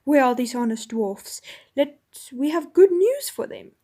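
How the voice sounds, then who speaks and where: A woman voicing a stern young king who speaks loudly and with command. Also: sits high, normal speed, natural voice. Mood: rousing. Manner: loud, commanding.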